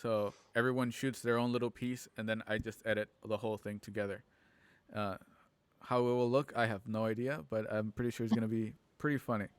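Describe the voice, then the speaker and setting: A man hosting an online show, chatting casually and haltingly on a livestream about plans for it. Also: on the low side, steady tone, smooth, no room sound. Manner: halting, informal. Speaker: host and organizer of an online radio drama show. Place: at home on a multistream video call.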